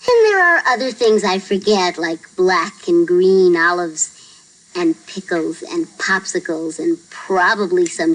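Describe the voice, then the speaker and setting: A woman's voice: fairly low pitch, low-quality recording. A woman voicing a matter-of-fact, bossy young girl in a children's storybook read-aloud.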